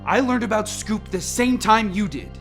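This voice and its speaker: A woman's voice: mid-range voice, moderate pace, vocal fry, soft background music, lightly acted. Testy lead scientist, acted by a woman. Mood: defensive, irritated. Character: testy, defensive lead scientist, curt and commanding. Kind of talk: amateur radio play acting.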